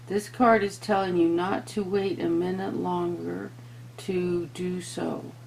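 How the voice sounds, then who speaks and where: Low-pitched, gravelly woman reading tarot. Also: flat delivery, slow pace, a little mellow, a little hiss or hum, low-quality recording. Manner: earnest, talks to viewers and to spirit. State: voice giving out, throat-clearing. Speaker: tarot reader and host. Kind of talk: tarot reading, part read aloud, part informal asides to viewers.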